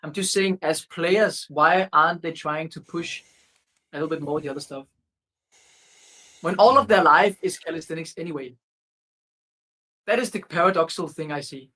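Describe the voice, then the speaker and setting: A man's voice: a little high, moderate pace, long pauses, sharp tone, rough recording. A man talking as a guest on a livestream, hesitant and filling his sentences with "like" and restarts. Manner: hesitant, many fillers and restarts. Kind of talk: casual livestream chat.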